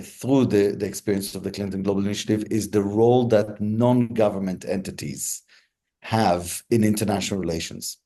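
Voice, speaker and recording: A man in his forties from Israel, answering interview questions in a relaxed storytelling way with frequent ums and restarts. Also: lowish voice. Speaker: interview guest, negotiator.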